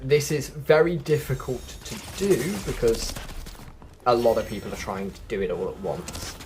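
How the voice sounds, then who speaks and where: A man's voice: mid-range voice, moderate pace, darkish tone, slight background noise. A man giving a casual, chatty gameplay walkthrough, explaining things directly to viewers as a video host.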